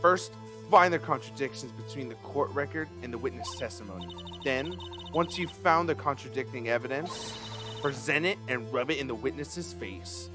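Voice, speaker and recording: A man's voice: mid-range voice, normal speed, natural voice. A man voicing an insistent female mentor lawyer from a video game, firm and instructive as she coaches a rookie. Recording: music behind the voice.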